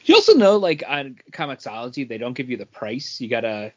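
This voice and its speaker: A man with a mid-pitched, very animated voice that rises and falls a lot. He chats casually about comics as a podcast co-host, with slightly dull audio. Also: fairly quick. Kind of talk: casual comic book review chat.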